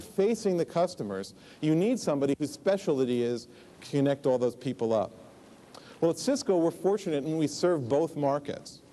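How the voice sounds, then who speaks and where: A man's voice: fairly high pitch, dark tone. A man in his forties giving a conference keynote on a large stage, explaining in a conversational way with asides and fillers, on a lapel mic. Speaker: keynote speaker.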